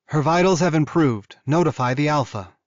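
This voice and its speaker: A man voicing a caring, maternal female werewolf doctor in her mid-forties, explaining things in a measured audiobook style. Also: fairly high pitch, no echo, muffled recording, voice pushed up, close to an everyday voice. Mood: urgent announcing. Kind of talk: audiobook character dialogue.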